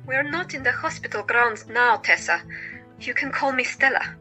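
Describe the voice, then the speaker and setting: Woman playing a bright, cheerful lady doctor. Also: sits high, moderate pace, soft background music, low-quality recording. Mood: friendly, reassuring. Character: joyous, caring female doctor in 1917.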